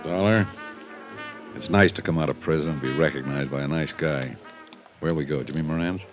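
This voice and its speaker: Aging ex-bootlegger fresh from prison, low, musing, world-weary, wry, in muffled vintage radio drama. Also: male voice, normal speed. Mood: earnest, guarded. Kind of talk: 1950s radio drama acting. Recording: muffled 1950s radio recording with music.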